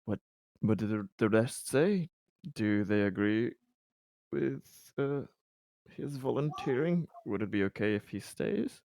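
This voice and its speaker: Slow, measured man roleplaying a formal leader. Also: lowish voice, expressive, gravelly, a little mellow, no room sound. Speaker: player in a tabletop roleplaying game.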